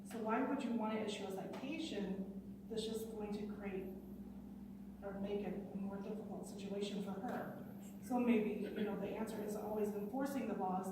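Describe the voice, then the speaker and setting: Woman, council candidate, earnest, explains with examples, distant mic, long hall reverb. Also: medium pitch. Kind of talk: candidate forum answer. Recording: echoey hall from far off, noisy.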